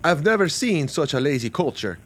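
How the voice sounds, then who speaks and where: A man doing a gruff, scornful Nord. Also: on the high side, sing-song, a little fast. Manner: contemptuous, blustering. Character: gruff scornful male Nord diplomat, prejudiced.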